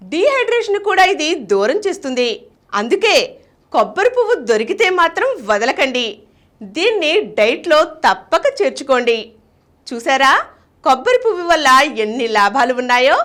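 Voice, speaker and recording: A woman's voice: sits high, fairly bright tone. A woman reading a scripted health narration in a conversational way, addressing listeners directly.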